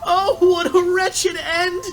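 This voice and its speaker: Casting: jittery superstitious young villager for a game, high voice, melodramatic, faint music. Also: female voice, flat delivery, slow, exaggerated voice. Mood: dramatic dread. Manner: nervous, melodramatic. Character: fearful, superstitious young townsperson, dramatic. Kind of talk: video game acting.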